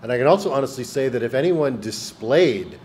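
A man's voice: medium pitch, animated, fairly quick, darkish tone, a little hiss or hum. A man in his thirties on a panel in a lecture room, speaking frankly and animatedly into a table microphone. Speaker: panelist, public servant. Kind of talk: panel Q&A answer. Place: university lecture room, indoors. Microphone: table microphone, seated.

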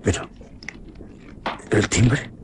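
A man acting a shaken, horrified doctor in a radio horror drama, halting and stammering. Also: a little hiss or hum. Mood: uneasy, hesitant. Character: shaken male doctor discovering a dead patient, horrified.

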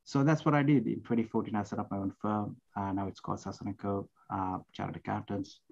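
Middle-aged man from Sri Lanka, interview guest, low-pitched, halting, muffled headset audio. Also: steady tone, creaky, a little mellow. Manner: hesitant, many fillers and restarts. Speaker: guest accountant. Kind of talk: interview answers. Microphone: headset on video call.

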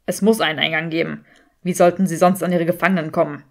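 A woman voicing an irritable, pragmatic young male wizard in an audiobook, terse and forceful. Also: lowish voice, steady tone, fast talker, pitched below the performer's own voice, natural voice. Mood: urgent.

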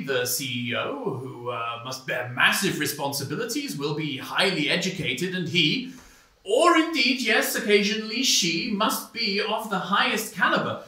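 A smug male intellectual played by an actor lectures pompously in a satirical stage debate. His voice is fairly high and bright, with halting, oratorical phrasing, heard at a distance in a roomy space. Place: small stone-walled theatre stage.

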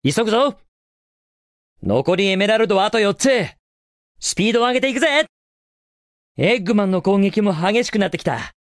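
A man's voice: sits high, lively intonation, a little fast, pauses often, no room sound, clean studio sound. An actor playing a cocky, confident young male hedgehog hero in a Japanese video game, with a cool, casual delivery. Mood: focused, determined.

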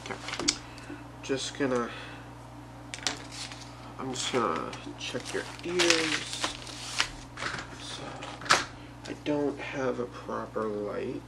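Slow, creaky obsessive boyfriend played by a man. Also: mid-range voice, animated, exaggerated voice. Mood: calmly controlling. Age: young adult. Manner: halting, soft-spoken, unhurried. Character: obsessive psycho boyfriend playing caretaker, calmly menacing. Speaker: ASMR role-player. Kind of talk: ASMR role play, half whispered. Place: small bedroom, indoors. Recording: very noisy webcam-style home recording.

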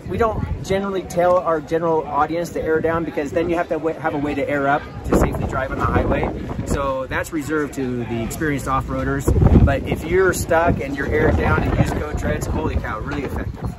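A man's voice: on the high side, fairly even intonation, a little fast, heavy background noise. Eager man demoing gear at an outdoor booth. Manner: enthusiastic, explanatory, hands-on demonstrating. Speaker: product representative. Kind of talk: product demonstration. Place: outdoor expo booth by a trailer. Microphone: camera mic at a few feet.

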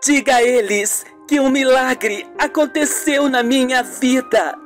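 A performer acts out a young woman in a radio soap opera, her voice raised high and speaking earnestly. She sounds grateful and measured, with music playing underneath. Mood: joyful.

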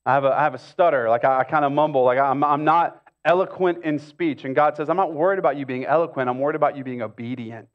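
A man's voice: fairly high pitch, steady tone, quick pace, hardly any pauses, highs cut off. A middle-aged American man, a pastor, giving a conversational sermon with casual asides and repeated phrases for emphasis. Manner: casual, with repetition and rhetorical asides. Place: stage of a church auditorium.

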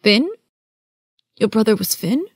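Prim older cartoon librarian. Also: female voice, a little high, animated, unhurried, breathy edge, dry recording, studio quality, exaggerated voice. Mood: reflective. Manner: prim, wry. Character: prim, brisk older woman keeping a library. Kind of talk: animated film character acting.

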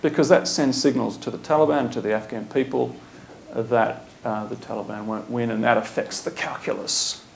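A man's voice: mid-range voice, fairly even intonation, normal speed, gravelly, hardly any pauses, a little hiss or hum. A man, a former diplomat speaking bluntly as a guest at a panel talk in a lecture room. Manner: blunt, opinionated, speaks in short punchy statements. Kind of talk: panel conversation. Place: lecture room with a seated audience.

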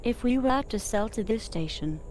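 A woman voicing a calm, obliging spaceship computer assistant in a measured, formal way. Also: on the high side, a little hiss or hum, natural voice. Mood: calm, procedural. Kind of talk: scripted game voice assistant prompts.

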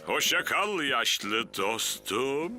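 An actor playing a proud, bossy but good-hearted aging fire officer in a children's cartoon dub, with an emphatic way of talking. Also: male voice, mid-range voice, very animated, drawn-out words. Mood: proud, pleased. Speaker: station officer giving orders. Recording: music behind the voice.

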